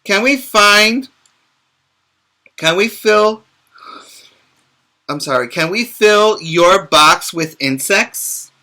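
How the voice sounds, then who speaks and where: A man's voice: sits high, animated, very slow, long pauses, sharp tone, pitched above the performer's own voice, exaggerated voice. A male teacher voicing a friendly boy from a picture book who offers to help his friend. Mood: eager.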